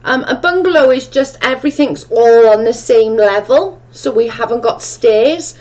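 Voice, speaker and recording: A woman's voice: fairly high pitch, expressive, moderate pace, a little mellow, highs cut off. A woman with a strong Welsh accent hosting a casual livestream chat, reading viewer comments aloud and answering them in a chatty, rambling way. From Wales. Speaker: livestream host.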